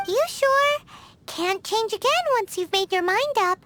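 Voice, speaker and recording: A woman voicing a tiny, excitable fairy companion who talks about herself in third person. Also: very high-pitched, unhurried, noisy background. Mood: teasing. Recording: game cutscene with music behind.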